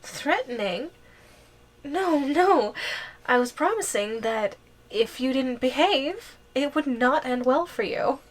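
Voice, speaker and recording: A woman's voice: high voice, lively intonation, exaggerated voice. A woman playing a possessive yandere giantess girlfriend in an ASMR roleplay, sweetly menacing and playfully teasing. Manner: slow, playful teasing with frequent giggles. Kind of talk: ASMR roleplay audio drama.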